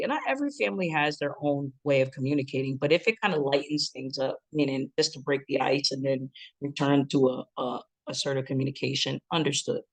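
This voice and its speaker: A relationship educator with a deep, booming chest voice, giving a lively instructional talk. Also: rough recording. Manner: lively, breaks into acted quotes and laughs.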